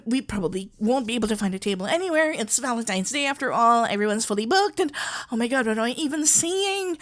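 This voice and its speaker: A woman playing a flustered, lovestruck young florist in an ASMR roleplay, stammering and halting, breathless and giddy. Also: high voice. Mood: nervous, giddy.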